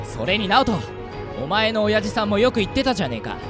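An eager, girl-crazy young guy with a flippant, easygoing delivery and animated pitch voiced by a woman. Anime radio drama acting, with loud music behind. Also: fast, close to an everyday voice. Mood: carefree.

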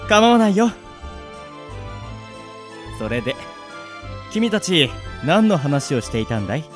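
Brief: male actor for a self-satisfied love guru of the trains, fairly high, very animated, theatrical radio comedy. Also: unhurried, music in the background. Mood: grand, musing. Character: pompous, self-adoring male legendary love evangelist of the trains. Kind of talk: comic amateur radio drama acting.

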